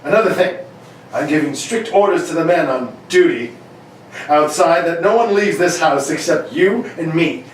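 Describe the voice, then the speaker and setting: A gruff, exasperated older police inspector, played by a man in an old-time radio play, blustering in a slightly high voice. He sounds off-mic in a very echoey room. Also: expressive, normal speed, natural voice. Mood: gruff, bossy. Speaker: amateur radio play performer. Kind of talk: 1940s radio play read for laughs. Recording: distant mic, very echoey room, faint noise.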